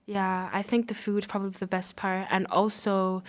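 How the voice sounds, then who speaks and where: A woman's voice: medium pitch, level tone, moderate pace. A teenage female student from the Republic of the Congo, giving casual answers as an interview guest. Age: teens.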